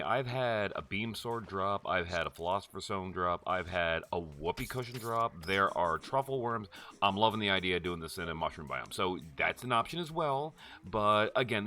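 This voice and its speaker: A man casually narrating a game tutorial, chatty and friendly, checking in with quick asides. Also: bright, forceful tone. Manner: chatty, jumps high in pitch for quick check-ins. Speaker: gaming tutorial host.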